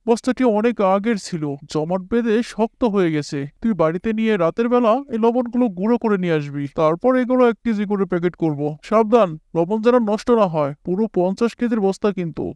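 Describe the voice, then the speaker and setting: An actor playing a scornful, bossy village shopkeeper in a cartoon moral story, brusque and scolding. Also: male voice, high voice, expressive, fast, few pauses, darkish tone, smooth, dry recording. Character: gruff, scornful village shopkeeper and bossy merchant, adult man. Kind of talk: animated moral story dialogue.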